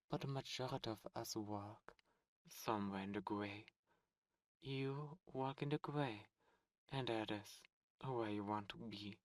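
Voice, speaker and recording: A man voicing a seductive ancient demon prince, a fallen angel, speaking softly and slowly in an ASMR roleplay. He coaxes and tempts, close to the microphone. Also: medium pitch, no room sound, close to an everyday voice. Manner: soft, slow, coaxing and tempting.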